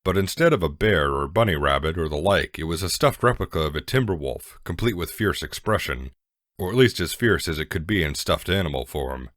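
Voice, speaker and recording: A male narrator with a remarkably deep voice reads scripted storybook prose. The recording is dry, with no room sound around him. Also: expressive, fairly quick, fairly bright tone, no echo.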